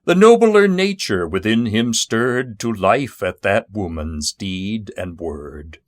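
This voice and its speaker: A man reciting narrative poetry in a measured, solemn and dramatic manner. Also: fairly low pitch, very animated, no room sound. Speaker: poetry reader.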